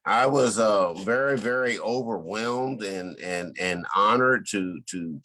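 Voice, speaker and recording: A man giving casual interview answers as an artist and actor, earnest and a little halting, repeating words. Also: low-pitched, a bit bright, rough recording.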